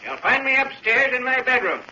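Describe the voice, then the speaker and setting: Piercingly high old man through loudspeaker. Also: very animated, a little fast, soft background music, exaggerated voice. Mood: guarded. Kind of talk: 1940s radio drama acting. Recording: muffled, hissy 1940s radio recording.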